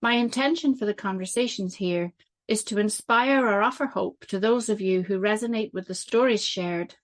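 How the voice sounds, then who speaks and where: A woman hosting a podcast, reading a measured introduction and then asking questions in an earnest way. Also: medium pitch. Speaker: podcast host. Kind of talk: scripted intro, then interview.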